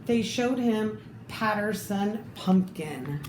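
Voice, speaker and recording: A woman reading a children's picture book aloud expressively, pausing to add her own comments. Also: mid-range voice, animated. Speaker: storybook reader. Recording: echoey room with faint background noise.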